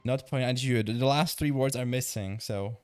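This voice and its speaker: A man's voice: medium pitch, fairly quick, clear, resonant tone, soft background music. A young man from the Czech Republic with a Czech accent, a streamer chatting casually, reading chat aloud and swearing now and then. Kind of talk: casual live-stream chat while gaming.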